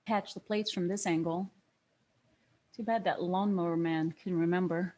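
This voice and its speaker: An actress in a screenplay table read playing a younger police detective, wry and skeptical, with a dry, probing manner. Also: female voice, fairly low pitch, steady tone, normal speed, darkish tone, close to an everyday voice.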